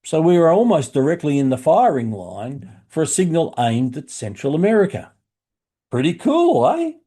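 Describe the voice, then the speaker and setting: Mellow Australian man explaining things. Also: fairly high pitch, huge pitch swings, normal speed, husky. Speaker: shortwave radio hobbyist presenter. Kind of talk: explanatory narration for a hobby video.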